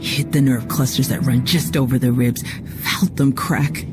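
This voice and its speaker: A woman's voice: extremely low pitch, steady tone, normal speed. A woman playing a tough, cocky female security chief in a game cutscene, blunt and wry in manner. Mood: somber, bitter. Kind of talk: video game cutscene acting. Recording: music under the voice.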